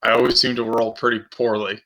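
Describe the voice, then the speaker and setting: A man speaks casually as a player at a tabletop game. His voice is very bright and edgy, middling in pitch, with little melodic movement. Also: flat delivery, fairly quick, rough recording.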